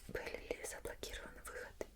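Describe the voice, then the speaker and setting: A woman acts out a female investigator in an ASMR roleplay. She speaks entirely in a whisper. Her questioning is patient yet suspicious, slow and probing, with pauses between phrases. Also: lightly acted. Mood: calm probing.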